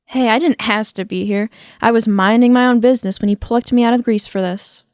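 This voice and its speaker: A prickly, boastful weaver played by a woman in an audio comedy. The voice is fairly high and closely recorded with no room sound, haughty and unimpressed in its delivery. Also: steady tone, a little fast, darkish tone, no echo, clean studio sound, close to an everyday voice. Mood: guarded irritation. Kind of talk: comedic audio drama acting.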